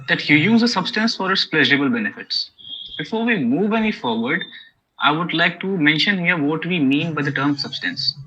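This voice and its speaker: A man's voice: fairly high pitch, pushed and bright, husky. A man with an Indian English accent giving an academic lecture, explaining step by step with frequent "okay?" and "you know" checks. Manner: explanatory, many okays and you-knows. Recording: dull lo-fi recording.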